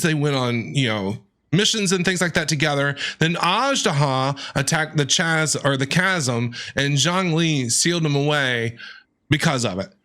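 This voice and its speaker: A man's voice: a little high, pushed and bright. A man streaming a game, reacting casually and enthusiastically with exclamations. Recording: game music faintly behind the voice.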